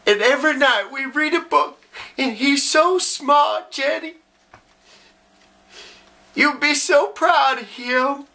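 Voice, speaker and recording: A man playing a simple, tender, grieving Southern husband speaking haltingly at his wife's grave in a dramatic monologue. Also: high voice, sharp tone, voice pushed up, full character voice.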